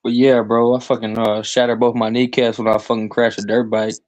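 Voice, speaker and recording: A man's voice: medium pitch, flat delivery, fairly quick, darkish tone, no echo, lo-fi sound. A man of twenty-two in casual, crude chat, rambling and saying "bro" and "like" constantly.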